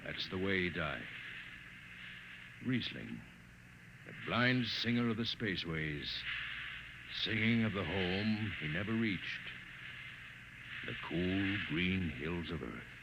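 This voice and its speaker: A man narrating a radio drama with a slow, somber delivery. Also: low-pitched, expressive. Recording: muffled, noisy old radio broadcast.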